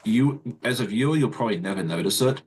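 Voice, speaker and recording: An Australian man, a guest, talks quickly in a casual livestream chat with lots of fillers and restarts. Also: fairly low pitch, expressive. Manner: fast-talking, chatty, many fillers and restarts. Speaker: guest content creator.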